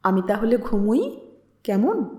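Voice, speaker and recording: Woman acting drowsy, feverish young woman, high-voiced. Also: big pitch swings, unhurried, warm tone, reverberant. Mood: drowsy, clinging.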